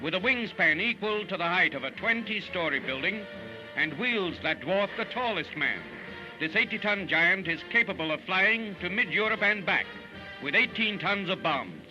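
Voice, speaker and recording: A man's voice: high-pitched, animated, moderate pace, highs cut off. An American man reading a scripted 1940s newsreel in a dramatic, punchy way over music. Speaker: newsreel narrator. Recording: band-limited 1940s newsreel soundtrack, loud music. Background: loud newsreel music.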